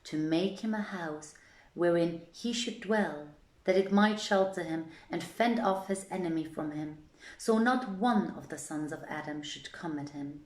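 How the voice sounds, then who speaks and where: Lowish-voiced woman storyteller, echoey room. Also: a little slow, darkish tone. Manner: theatrical, formal archaic phrasing. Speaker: storyteller, reader. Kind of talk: scripted literary narration of an old tale. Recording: echoey, roomy sound.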